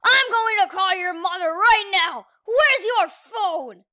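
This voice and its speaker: Cranky kid-hating male neighbour, performed by a woman: loud, indignant, high-pitched, storybook bluster. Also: animated, takes it slowly, exaggerated voice. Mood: furious. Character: bad-tempered yelling male neighbour who hates kids.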